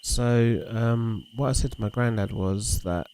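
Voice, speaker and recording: A man hosting a game livestream, chatting in a relaxed, rambling way with a gravelly voice. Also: medium pitch, a little slow. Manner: relaxed, rambling, talks to chat and reads messages aloud. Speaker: livestream host. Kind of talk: casual chat while streaming a fishing game.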